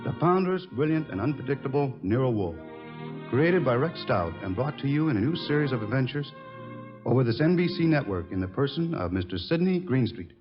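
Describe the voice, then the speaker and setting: An animated male announcer with an American accent introduces a program in grand 1940s radio style. His voice is muffled by the vintage recording, with music clearly behind it. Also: medium pitch, brisk. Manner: grand, theatrical.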